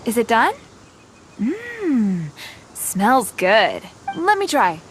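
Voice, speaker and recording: A woman's voice: on the high side, wildly swooping pitch, very slow, slight background noise, full character voice. An actress playing a friendly, upbeat young female survival expert in a video game, welcoming and encouraging.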